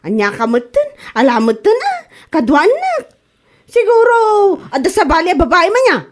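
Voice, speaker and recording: High-pitched, whiny nagging wife played by an actress. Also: female voice, big pitch swings, normal speed. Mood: nagging, pleading. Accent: Ilocano. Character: gossiping, fretful, nagging wife in trouble with neighbours. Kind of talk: Ilocano radio comedy drama acting.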